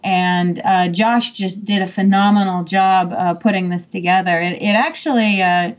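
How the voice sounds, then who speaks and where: A woman's voice: mid-range voice, fairly even intonation, moderate pace. Hesitant woman publisher chatting. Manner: hesitant, filler-heavy. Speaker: publisher guest. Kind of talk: casual panel chat.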